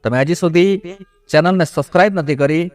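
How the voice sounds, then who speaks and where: A man narrates a devotional moral story in a measured, expository way, addressing his viewers directly. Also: on the high side, darkish tone, no echo. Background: background music.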